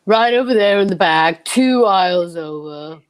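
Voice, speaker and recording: A woman's voice: fairly low pitch, animated, a little slow, clean tone, voice pushed down. A woman voicing a busy, haughty male department store floor walker in old-time radio comedy style, curt and drawling. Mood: haughty, impatient.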